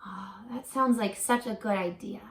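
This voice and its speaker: A woman doing an animated read-aloud for young children as a teacher, gentle and encouraging, pausing to put questions directly to the listener. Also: mid-range voice, reverberant. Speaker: teacher reading a picture book aloud to children.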